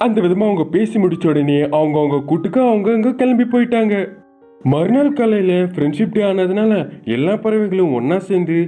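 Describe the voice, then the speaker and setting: A man tells a scripted children's cartoon story. His voice is high for a man and soft-edged, with background music running under the narration. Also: expressive, brisk.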